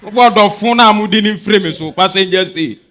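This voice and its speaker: A West African man playing a loud, brash minibus conductor calling out to passengers in a stage reading. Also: high-pitched, a little fast. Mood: brash, amused.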